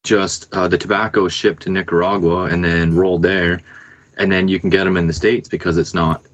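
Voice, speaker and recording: A man's voice: deep voice, flat delivery, a little fast, a bit bright, no echo. A man chatting casually as a musician guest on a podcast over a remote call. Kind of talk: casual chat. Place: remote Skype call from Canada.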